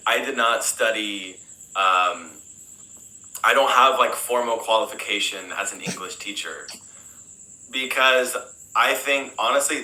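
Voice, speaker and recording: An American man, an English teacher, answering interview questions in a relaxed, rambling way, full of fillers and restarts. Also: on the low side, echoey room, poor audio. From United States.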